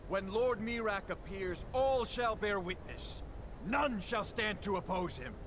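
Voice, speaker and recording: A fanatical fantasy-game cultist with a high voice. He declaims threats and accusations in formal, archaic phrases. A clear rumble of noise lies underneath. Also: expressive, a little slow. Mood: zealous, exultant. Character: menacing fanatical male cultist, zealous and accusing.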